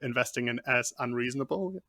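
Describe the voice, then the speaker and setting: A man's voice: medium pitch, quick pace, pushed and bright, dry recording, studio quality. A man hosting a podcast, asking questions in a friendly, conversational way with some fillers. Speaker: podcast host.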